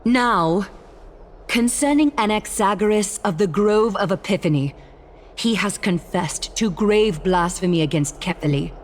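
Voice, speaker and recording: An actress playing a regal female demigod judge in a game cutscene, formal, measured and authoritative. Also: medium pitch, a little hiss or hum, close to an everyday voice. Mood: solemn authority. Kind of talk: scripted game cutscene acting.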